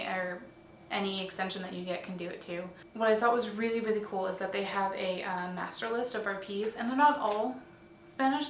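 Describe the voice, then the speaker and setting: A woman presents a casual online roundup in an informal, chatty way, a little tentative and quick to correct herself. She sounds set back from the microphone in an echoey room, with faint noise underneath. Her voice sits at a middle pitch. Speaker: host of a weekly online roleplay community show. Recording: echoey room, distant mic, faint hiss.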